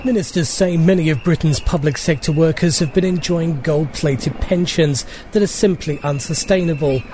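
A man's voice: on the high side, normal speed, dark tone, a little hiss or hum. A man delivering a scripted radio news report as a correspondent.

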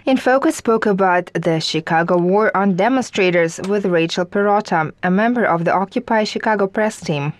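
A woman reading scripted news reports in a steady, even manner. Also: medium pitch, normal speed, few pauses. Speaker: news presenter.

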